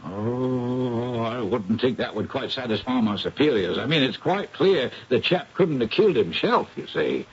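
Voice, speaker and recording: An actor playing a wordy, deferential British police superintendent in an old radio mystery, with a husky, rough-edged voice. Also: male voice, medium pitch, animated, a little slow, few pauses, slight background noise. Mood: puzzled, doubtful. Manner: colloquial, deferential, hesitant phrasing. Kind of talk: old-time radio drama acting. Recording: dull old radio recording.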